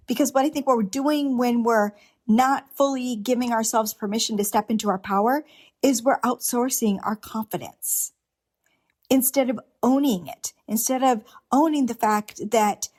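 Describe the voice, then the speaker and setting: A woman's voice: high-pitched, darkish tone. A middle-aged woman hosting a solo podcast, talking earnestly and unscripted, with restarts and rhetorical questions. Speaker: podcast host. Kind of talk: reflective solo podcast monologue.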